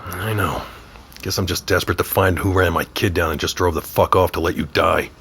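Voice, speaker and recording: A middle-aged man playing a gruff, wry father with authority, questioning pointedly in an audio drama. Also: low-pitched, fairly even intonation, fairly quick, fairly bright tone, slight background noise. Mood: probing, gruff. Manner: wry, probing. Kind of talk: naturalistic audio drama acting.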